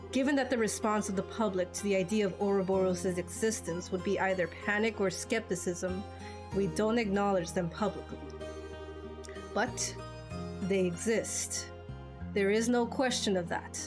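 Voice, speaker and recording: A woman voicing a grave, measured father and veteran fighter in game cutscenes, explaining things seriously. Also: medium pitch, natural voice. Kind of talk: amateur game cutscene voice acting. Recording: music behind the voice.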